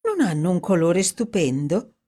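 Woman voicing young female story character, high-pitched, gushing, dreamy, headstrong, mellow tone. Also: very animated, unhurried, breathy edge, heavily acted. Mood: amazed. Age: young adult. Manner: gushing, exclamatory. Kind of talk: audiobook character acting.

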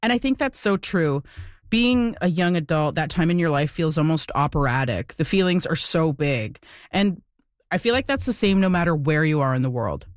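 A woman's voice: low-pitched, lively intonation, moderate pace, no echo, clean studio sound. A woman hosting a podcast, narrating in an earnest way and chatting with a few fillers. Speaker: podcast host. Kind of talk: scripted narration mixed with casual chat.

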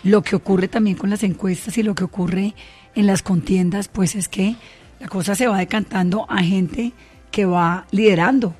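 A woman's voice: mid-range voice, steady tone, moderate pace, a little mellow, husky, soft background music. A woman moderating a political debate, asking probing, challenging questions.

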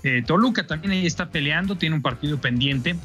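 A man from Mexico giving a friendly sports news report, pausing now and then with fillers. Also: fairly high pitch, expressive, sharp tone, faint music behind.

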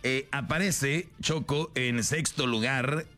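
A man's voice: mid-range voice, slow, bright, forceful tone, soft background music. A man co-hosting a radio game show with teasing, playful banter and announcements.

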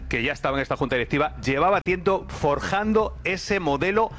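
A man's voice: on the high side, lively intonation, brisk, a bit bright, slight background noise. A man with a Castilian Spanish accent giving his opinion in an unscripted football debate, building points in lists. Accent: Castilian Spanish from Spain. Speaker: sports radio pundit.

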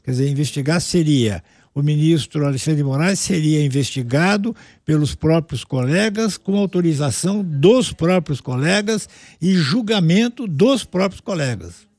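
Male radio host, dry booth sound, a bit high, conversational probing questions. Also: lively intonation, normal speed, darkish tone, no echo. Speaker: radio news anchor. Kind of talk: radio news interview.

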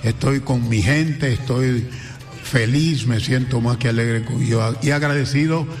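A man's voice: medium pitch, measured pace. Dominican guy guesting on the radio, earnest, thankful, mid voice, bit of music under it. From Dominican Republic. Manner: earnest, effusive, grateful, speaks of blessings. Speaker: guest singer. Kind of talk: radio interview. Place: radio studio.

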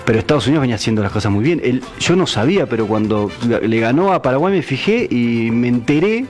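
A man's voice: medium pitch, lively intonation, fast, soft background music. A man with an Argentine accent, a guest chatting casually on a radio show, laughing often and trailing off with fillers. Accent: Argentine Spanish. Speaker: guest, embassy staffer. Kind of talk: casual radio interview chat.

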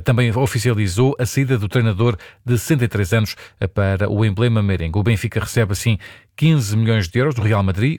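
A man reading a formal radio news bulletin in a studio, brisk with an occasional slip. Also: lowish voice, a little mellow, no echo. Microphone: studio mic.

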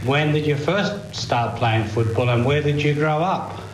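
A man's voice: on the high side, measured pace. A man hosting an interview, chatty and jokey, often cutting in. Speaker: podcast host. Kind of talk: casual interview. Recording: echoey with faint noise.

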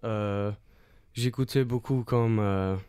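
A man from Australia chatting casually as an interview guest in a studio, speaking halting French and searching for words. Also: mid-range voice, fairly even intonation, warm tone, clear, resonant tone, no echo. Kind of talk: casual chat.

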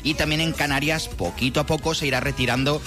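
A man's voice: fairly high pitch, fairly even intonation, quick pace. The weatherman on a Spanish morning radio show, a man with a Spain accent chatting through the map over music. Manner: colloquial, playful diminutives. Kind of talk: informal live weather report. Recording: music bed under the voice.

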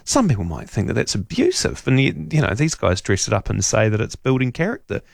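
A man's voice: on the low side, huge pitch swings, brisk, creaky, dry recording, studio quality. A middle-aged man hosting a radio talk show, chatting and rambling with fillers and restarts. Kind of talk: casual radio chat. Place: radio studio.

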